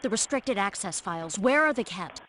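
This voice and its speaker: A woman's voice: mid-range voice, animated, normal speed, a bit bright, close to an everyday voice. A woman playing a determined female agent infiltrating enemy systems in a scripted video game. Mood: insistent. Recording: soundboard clips with light noise, clicks.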